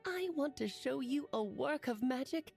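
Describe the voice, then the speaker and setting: A woman's voice: high-pitched, expressive, moderate pace, creaky, darkish tone, soft background music. A woman playing a breezy, eccentric witch auntie in an anime video game, with a theatrical storyteller's flourish. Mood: assured, playful.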